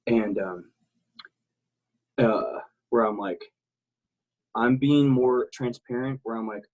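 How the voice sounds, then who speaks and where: Husky rambling veteran man from South Texas. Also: medium pitch, slow, narrow, tinny audio. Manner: rambling, many restarts and fillers, blunt and swearing. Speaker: interview guest, military veteran. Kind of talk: casual interview talk.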